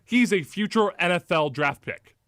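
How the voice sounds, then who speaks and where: A man's voice: high voice, expressive, normal speed, fairly bright tone, no echo. A man hosting a sports talk radio show, speaking into a studio mic with a polished, emphatic delivery. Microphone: close studio microphone.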